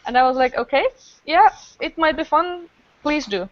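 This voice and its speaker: Woman, higher-pitched voice, enthusiastic rambling storytelling, casual podcast chat, dull audio. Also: expressive, moderate pace, no echo. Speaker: improv festival organizer, podcast guest.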